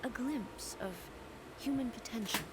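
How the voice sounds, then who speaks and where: A woman voicing a solemn, grieving immortal warrior goddess in a video game, speaking in a formal, measured, earnest way. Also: a little high, lightly acted. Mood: resolute, reflective. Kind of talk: dramatic video game voice acting. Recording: loud game music behind the voice. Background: loud video game music.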